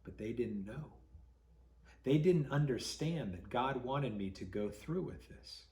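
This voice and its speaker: A man reading a story to children as a pastor would, dramatic and expressive, with deliberate pauses. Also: medium pitch, echoey room.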